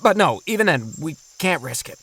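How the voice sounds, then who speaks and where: A man's voice: a little high, big pitch swings, moderate pace, a bit bright, breathy edge, full character voice. A man playing a thoughtful, kindly middle-aged father in a children's audio drama, explaining things reassuringly. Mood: planning.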